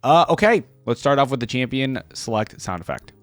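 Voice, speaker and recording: A man's voice: medium pitch, very animated, fairly quick, a bit bright, soft background music. A young man hosting a reaction video, giving casual commentary in an informal, slangy way.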